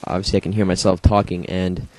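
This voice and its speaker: A young man, slightly hoarse from a congested throat, rambling casually through his own match footage with many fillers and self-deprecating asides. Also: low voice, level tone, quick pace, darkish tone, rough recording. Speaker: gameplay commentator. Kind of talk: casual gameplay commentary.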